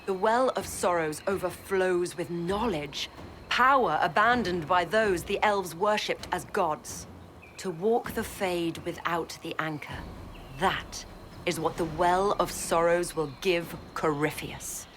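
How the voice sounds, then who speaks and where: An actress voicing a cold, proud zealot commander in a video game, deliberate and disdainful. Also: female voice, lowish voice, a bit bright, a little hiss or hum. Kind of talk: dramatic video game acting.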